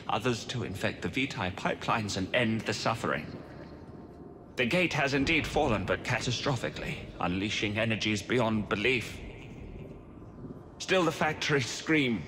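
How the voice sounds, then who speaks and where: An actor playing a remorseful alchemist in a horror game, delivering a solemn, formal record in grave, deliberate phrases. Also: male voice, medium pitch, background noise, natural voice. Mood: grave, urgent. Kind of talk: solemn dramatic game monologue.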